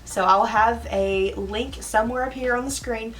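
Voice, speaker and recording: A woman beauty vlogger chatting casually about makeup, her voice hoarse, talking around a cough drop in a rambling, chatty way. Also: fairly high pitch, moderate pace, a bit bright, recorded from a distance, rough recording. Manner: chatty and rambling, with filler asides. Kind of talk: casual get-ready-with-me chat.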